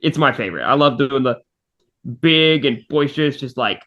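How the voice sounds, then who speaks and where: A man's voice: medium pitch, animated, moderate pace, bright, forceful tone, lo-fi sound. A man chatting casually on a podcast, emphatic and often repeating his phrases. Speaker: fandub voice actor on a team podcast. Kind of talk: casual chat.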